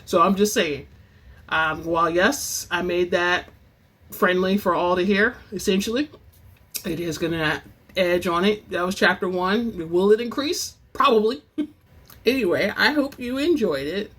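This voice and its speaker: A narrator reading and presenting fiction in an expressive, theatrical storytelling manner as host of a reading channel. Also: mid-range voice, unhurried. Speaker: audiobook-style reader and channel host. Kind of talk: dramatic book narration; casual chatty sign-off to listeners.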